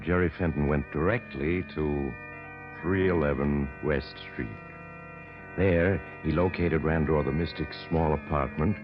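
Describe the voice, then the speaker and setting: The mysterious narrator of an old radio thriller. His voice is extremely deep and tells the tale with suspense. Music plays clearly behind the dull vintage recording. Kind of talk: 1940s radio scripted narration.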